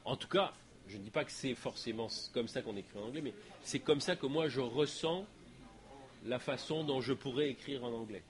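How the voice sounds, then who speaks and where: Male lecture guest, native French speaker, middle pitch, halting and reflective Q&A delivery. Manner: hesitant, many fillers and restarts. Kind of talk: lecture Q&A answers. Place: lecture hall.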